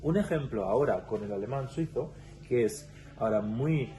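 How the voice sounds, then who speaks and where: A man with a Catalan-tinged Spanish accent speaks as an interview guest. His voice sits at an ordinary middle pitch, and he explains thoughtfully, pausing and restarting as he goes. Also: animated, warm tone. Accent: Catalan-influenced Spanish. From Catalonia, Spain. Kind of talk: casual interview conversation.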